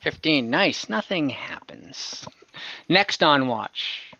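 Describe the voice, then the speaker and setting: An easygoing game master narrates a fantasy tabletop game over an online call. The voice is bright and swings widely in pitch while keeping an unhurried pace. The sound is slightly muffled. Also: big pitch swings, gravelly, no echo.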